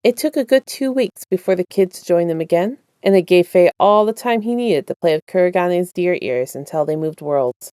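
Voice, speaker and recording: A woman with a slightly low voice works as a story narrator, reading fan fiction she wrote. The sound is close and dry, with no room around it. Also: animated, moderate pace, hardly any pauses, mellow, clear, resonant tone, no echo. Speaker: podfic writer and narrator. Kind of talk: casual intro and scripted story narration.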